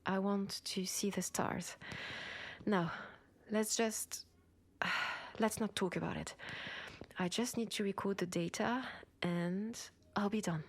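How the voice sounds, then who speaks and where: A woman with a French accent playing an ambitious, protective cyberpunk cop in an intimate sci-fi audio roleplay, with soft, breathy delivery. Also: mid-range voice, steady tone, takes it slowly, no room sound. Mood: vulnerable, pleading. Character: ambitious, protective female cyberpunk cop, senior partner to a rookie. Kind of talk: intimate sci-fi roleplay acting, one side of a dialogue.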